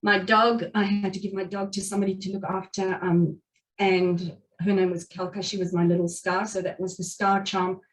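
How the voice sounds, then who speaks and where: A woman's voice: mid-range voice, flat delivery, moderate pace. Earnest Afrikaans-speaking woman, heard from afar. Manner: earnest, reflective, many restarts and fillers. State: has covid. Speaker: guest speaker. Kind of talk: motivational talk at a meetup. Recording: speaker distant from the mic.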